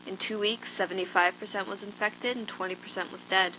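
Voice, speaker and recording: A woman's voice: mid-range voice, steady tone, fairly quick, sharp tone, slight background noise, close to an everyday voice, poor audio. A woman playing a hardened, blunt zombie-apocalypse survivor, delivering a scripted survival log in an instructive, matter-of-fact way.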